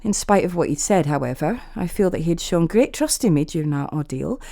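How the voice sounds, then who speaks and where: Female story narrator for scripted fantasy horror in first person; soft-edged, mellow tone, very dry close sound. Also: fairly low pitch, expressive, a little fast, creaky, no echo.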